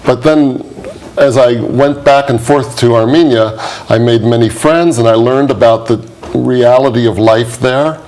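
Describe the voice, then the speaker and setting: A man's voice: mid-range voice, unhurried, darkish tone, poor audio. A man from the United States, a documentary producer appearing as a guest on a talk show, explaining his work in an easygoing, conversational way. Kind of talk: studio interview.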